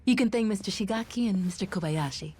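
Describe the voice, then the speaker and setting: A woman's voice: medium pitch, quick pace, background noise, lightly acted. A woman playing a polite, professional attendant with a courteous, formal way of speaking.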